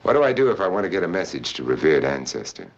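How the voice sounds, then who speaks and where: Deep-voiced, world-weary hard-drinking adventurer. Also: male voice, sing-song, fast talker. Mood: wry, sardonic. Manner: sardonic, theatrical, lyrical. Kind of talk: old film acting with poetic first-person narration. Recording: muffled old film soundtrack, faint music.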